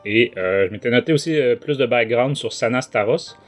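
A man's voice: mid-range voice, normal speed. A man with a Quebec French accent hosting a casual review discussion, explaining things in colloquial language. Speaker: podcast host. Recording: music under the voice.

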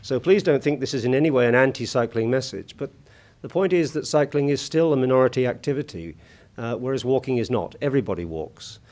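A British man with a husky voice giving a formal conference talk from a lectern, measured and full of hesitant fillers. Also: medium pitch, lively intonation, darkish tone. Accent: British English. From United Kingdom. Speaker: conference keynote speaker. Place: large conference hall at a lectern. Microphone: lectern microphone.

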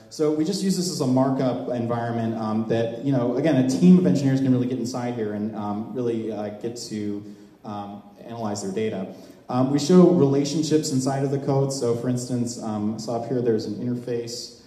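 A man giving a live demo talk to an audience as a software presenter, explaining in a halting way. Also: mid-range voice. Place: large open indoor event space, on a small stage before a seated audience. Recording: echoey hall with long reverb.

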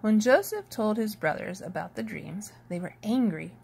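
A woman reading a children's picture book aloud in an expressive storytelling manner, with a slightly husky voice. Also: medium pitch, moderate pace. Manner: animated, storytelling delivery. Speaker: storybook reader.

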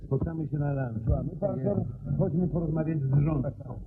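An actor playing a shrewd, calm detective in a radio play, probing and slightly superior in manner. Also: male voice, a little high, background noise, lightly acted. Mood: shrewd, insistent. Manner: probing, unhurried, condescending. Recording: narrow-band old radio recording.

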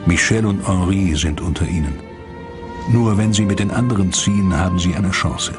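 Male narrator for scripted disaster documentary, very low register, music underneath. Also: moderate pace.

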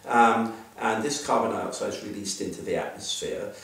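Older male scientist, low gravelly voice, halting delivery, roomy echo, set back from the mic. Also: fairly bright tone, distant mic. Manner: halting, many fillers and restarts. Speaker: scientist interviewee. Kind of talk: explaining to an interviewer.

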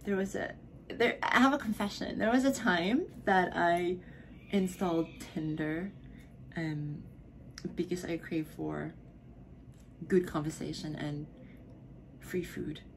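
A tipsy young woman talks to her camera from a bedroom, slurring and trailing off into breathy whispers. Her delivery is unhurried and drawn out, with a gravelly fry in the voice. A faint hiss of room noise sits under it. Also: lowish voice, low-quality recording. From Philippines. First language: Filipino (Tagalog). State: drunk. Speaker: vlogger. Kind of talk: casual Q&A vlog. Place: bedroom at home, indoors.

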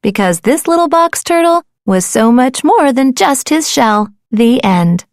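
A woman narrating a children's storybook in a dramatic, playful and deliberate way. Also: high-pitched, very animated, dry recording, clean studio sound. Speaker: audiobook narrator.

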